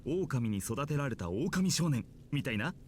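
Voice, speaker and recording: A man's voice: mid-range voice, very animated, fairly quick, slight background noise, natural voice. An actor voicing a chatty, mildly amused man in authority in a visual novel. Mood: musing. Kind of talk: Japanese visual novel voice acting.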